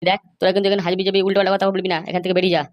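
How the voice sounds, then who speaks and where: Woman voicing a fast-talking angry old grandfather. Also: medium pitch, flat delivery, dull audio, lightly acted. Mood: indignant. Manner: scolding, threatening. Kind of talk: amateur comedy cartoon dub.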